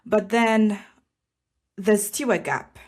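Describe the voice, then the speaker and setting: A woman's voice: mid-range voice, takes it slowly. A woman from Zambia hosting a podcast interview, agreeing with her guest and asking questions in a casual conversational way. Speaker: podcast host.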